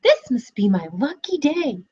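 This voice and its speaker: A woman reading aloud and voicing a sly, cheerful little male piglet who talks in a sweet, wheedling, high voice. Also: very animated, measured pace, a little mellow, lo-fi sound.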